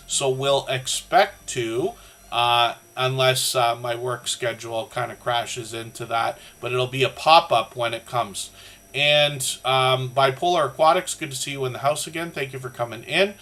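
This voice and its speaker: A man's voice: mid-range voice, bright, forceful tone. A Canadian man with a slightly scratchy voice hosting a casual livestream, rambling along in a friendly way as he talks to his chat. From southern Ontario, Canada. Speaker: livestream host of a creator community channel.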